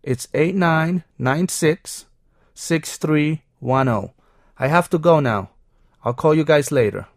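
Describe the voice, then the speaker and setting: A man's voice: a little high, slow, no room sound, clean studio sound. A man playing a friendly seventeen-year-old schoolboy in a classroom role-play.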